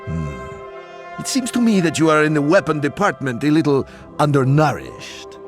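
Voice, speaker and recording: A pompous French-accented hero, wildly animated in pitch. He is flamboyant and condescending, showing off grandly over loud music. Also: moderate pace. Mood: condescending, boastful. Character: flamboyant, snooty, boastful French archer hero.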